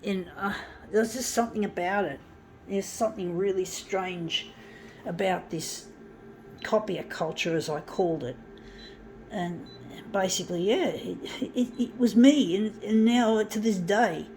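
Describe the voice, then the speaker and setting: A woman's voice: mid-range voice, measured pace, a little mellow, a little hiss or hum, poor audio. A middle-aged woman reminiscing casually about mixtapes and zine culture, rambling with fillers and restarts. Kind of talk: casual reminiscing while working.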